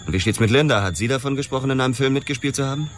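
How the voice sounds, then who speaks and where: An actor in a noir radio drama playing a blunt, sardonic homicide detective who questions insistently. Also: male voice, mid-range voice, rapid-fire, a little hiss or hum, natural voice. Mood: probing. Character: hard-boiled, insistent male homicide police detective.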